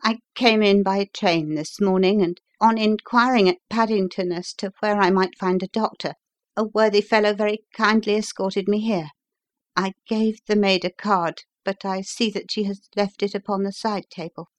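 A woman voicing a polite, plucky and excitable injured young male engineer in a storybook reading. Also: medium pitch, moderate pace, dry recording, voice pushed up, natural voice. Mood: earnest, polite.

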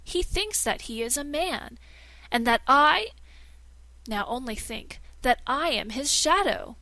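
A woman's voice: sits high, expressive, slow pace, sharp tone, no echo, voice pushed up, heavily acted. A woman voicing a haughty, scheming male shadow who now acts as master and speaks in commanding, old-fashioned thee-and-thou phrasing. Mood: feigning distress.

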